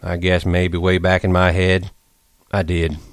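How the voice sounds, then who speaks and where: A man's voice: deep voice, monotone, a little slow, no room sound, clean studio sound. A man voicing a resigned, stern ranch worker with plain, rustic American speech, in an audiobook. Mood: cold, hopeless.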